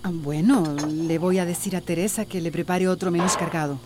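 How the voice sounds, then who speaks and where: A woman playing a gentle, patient young wife. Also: lowish voice, fairly even intonation, brisk, background noise, lightly acted. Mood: polite. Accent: Costa Rican. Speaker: radio drama actor. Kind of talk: radio drama acting.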